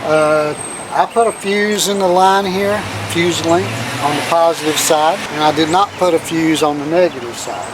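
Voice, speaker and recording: Folksy man, higher-pitched, noisy outdoors. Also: normal speed. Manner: folksy, informal grammar. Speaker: host of a do-it-yourself radio video. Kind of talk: casual hands-on demonstration. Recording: clear outdoor background noise with vehicles. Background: outdoor ambience, vehicle noise.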